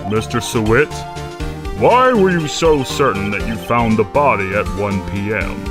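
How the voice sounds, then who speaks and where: A man playing a measured, formal judge in a courtroom game fan dub, speaking deliberately over music. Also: lowish voice, expressive. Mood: authoritative.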